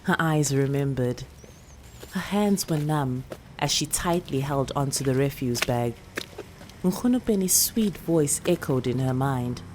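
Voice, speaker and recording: A South African woman actor narrates a short story in a very low voice. Her reading is slow, deliberate and expressive, with clear noise in the background. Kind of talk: dramatic literary story narration.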